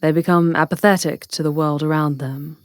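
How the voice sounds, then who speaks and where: A woman's voice: sits low, steady tone, a little fast, no room sound, studio quality, natural voice. A woman playing a matter-of-fact witch leader allied with a hunter, talking plainly and conversationally in an ASMR fantasy roleplay. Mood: relieved, reflective. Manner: plain-spoken, conversational, explanatory.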